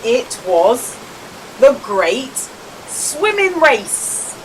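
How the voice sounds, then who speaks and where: A woman reading a children's storybook aloud as a storyteller, with dramatic emphasis and deliberate pauses for young listeners. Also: sits high, sing-song, a little hiss or hum, poor audio. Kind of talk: expressive children's storybook read-aloud with quiz.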